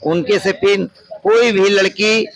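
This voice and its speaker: Male local interviewee, creaky higher voice, emphatic and repetitive opinion-giving. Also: fairly even intonation, takes it slowly.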